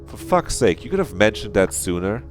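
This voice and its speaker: A man's voice: lowish voice, moderate pace, soft background music, pitched above the performer's own voice, lightly acted. Man voicing a snappy, sarcastic young woman. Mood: annoyed, sarcastic. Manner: snappy, scornful.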